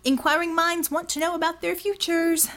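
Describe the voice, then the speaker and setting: A woman voicing a sarcastic, easily exasperated woman detective in an audiobook, with a wry and animated delivery. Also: extremely high pitch, moderate pace, pitched above the performer's own voice. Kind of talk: audiobook character dialogue.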